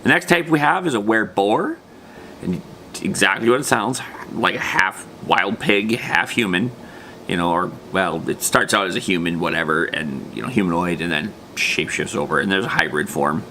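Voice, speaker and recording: Deep-voiced man casually explaining. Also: animated, normal speed, bright, forceful tone. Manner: informal, slangy, trailing off into loose asides. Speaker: presenter of a tabletop gaming video series. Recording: faint background noise.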